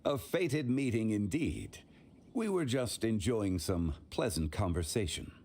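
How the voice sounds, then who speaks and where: An actor playing a composed, wise, courtly gentleman philosopher in a video game, with eloquent, formal delivery. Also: on the low side, lively intonation, unhurried, a little hiss or hum. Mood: pleasant.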